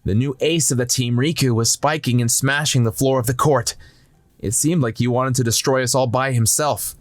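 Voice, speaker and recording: A man's voice: mid-range voice, steady tone, moderate pace, no room sound. A man narrating a manga story in the first person from a script.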